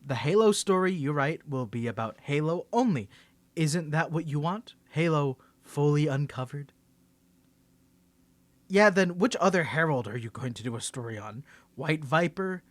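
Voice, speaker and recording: A man's voice: fairly high pitch, expressive, normal speed, dry recording, close to an everyday voice. A man voice acting an ASMR roleplay as a cocky young superhero with a secret identity, stammering and teasing as he swings between confidence and nerves. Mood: defensive, animated.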